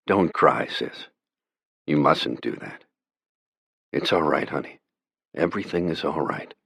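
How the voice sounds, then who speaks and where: A man doing a gentle grieving brother. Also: rumbling low, unhurried, no room sound, clean studio sound. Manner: gentle, soft, holding back pain.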